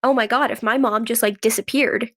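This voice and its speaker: Female interview guest, slightly high pitch, informal conversational delivery with frequent "like". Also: steady tone, brisk, fairly bright tone. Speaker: guest, actress and writer. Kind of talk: casual interview chat.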